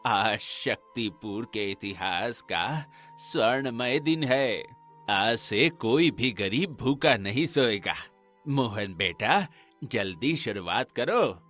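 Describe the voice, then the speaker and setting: A man's voice: medium pitch, animated, fairly bright tone, soft background music. A man voicing a kindly, solemn old grandfather in a village cartoon, drawing out his words. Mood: solemn, proud. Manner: drawn-out, measured delivery.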